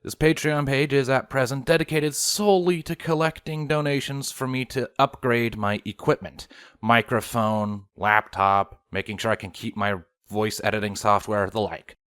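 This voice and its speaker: A man's voice: mid-range voice, steady tone, normal speed, fairly bright tone, clean tone, dry recording, clean studio sound. A man speaking as a channel host, making an announcement to his viewers.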